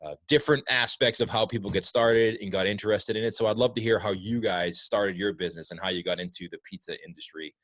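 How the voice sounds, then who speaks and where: A man's voice: lowish voice, a bit bright, dry recording. A man hosting a podcast, upbeat as he welcomes his guests and asks them questions. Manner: upbeat, fast-talking host. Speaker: podcast host. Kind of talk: podcast interview.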